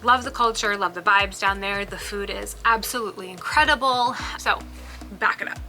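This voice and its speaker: A woman's voice: medium pitch, moderate pace, bright, forceful tone, faint music behind, rough recording. A woman in her thirties whose first language is Spanish, talking about her own life in a casual vlog, chatty, with lots of "um" and "like". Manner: chatty, with frequent ums and likes. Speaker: business owner. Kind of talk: casual personal vlog talk.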